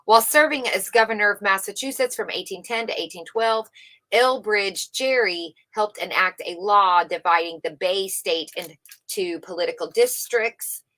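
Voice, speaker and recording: A woman's voice: medium pitch, normal speed, pushed and bright, rough recording. A woman livestream host reading a book aloud unrehearsed, informally, stumbling over words and correcting herself as she adds casual commentary.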